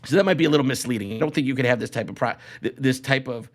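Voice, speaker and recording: A man hosting a casual, comedic podcast, talking in a halting way with repeated and restarted phrases. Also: mid-range voice. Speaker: show host.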